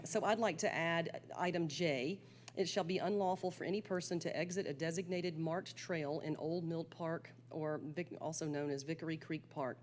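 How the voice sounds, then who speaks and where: A woman with a very low voice addresses a city council, mixing formal motion language with casual asides. Her speech is full of ums, and a faint hum sits behind her. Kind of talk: council meeting remarks. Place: council chamber.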